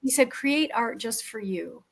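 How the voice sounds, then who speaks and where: Higher-voiced woman hosting a casual interview. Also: animated, measured pace, creaky, breathy edge. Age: middle-aged. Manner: affirming, personal. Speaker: interview host, writer.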